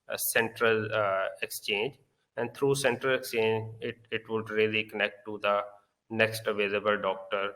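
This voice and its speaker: A man with a Pakistani accent giving a conference talk, halting often with long filler pauses. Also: on the low side, sharp tone. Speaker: conference speaker.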